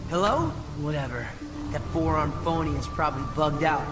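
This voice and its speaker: Game sidekick, male actor: sarcastic teen tough, slightly high pitch, slangy, roomy sound, music bed. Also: animated, normal speed, natural voice. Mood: cocky. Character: cocky, sarcastic tough teenage boy. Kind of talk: video game cutscene acting. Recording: roomy echo with music behind.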